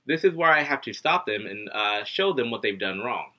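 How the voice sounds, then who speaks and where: Lively lo-fi male video teacher. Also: on the low side, moderate pace. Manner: animated, acts out students and himself, gestures a lot. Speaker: English teacher. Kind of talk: casual video lesson to camera. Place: living room at home, indoors. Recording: dull lo-fi webcam audio.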